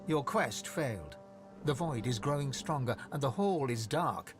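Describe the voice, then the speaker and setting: A man narrating a fantasy video game in a measured, dramatic storytelling manner. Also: medium pitch, expressive, music in the background, natural voice. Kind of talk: scripted narration.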